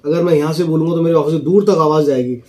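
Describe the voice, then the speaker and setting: A man's voice: on the high side, fairly even intonation, very fast, a little mellow. A man in his thirties giving a casual, explanatory product demonstration, dropping English phrases into his speech. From India. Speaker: product reviewer and walkie-talkie seller. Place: small office, indoors.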